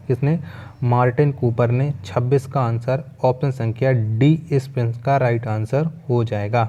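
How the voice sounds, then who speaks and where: A man's voice: medium pitch, dark tone. A man teaching an exam-prep quiz, reading out questions and answers and repeating them in an instructional way.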